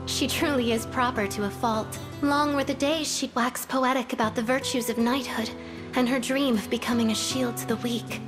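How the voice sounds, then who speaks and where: A woman's voice: on the high side, steady tone, normal speed, music in the background, natural voice. A woman playing a loyal, caring young noblewoman and devoted sister in a video game, speaking with polite formality. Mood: fond, wistful. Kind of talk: scripted video game voice acting.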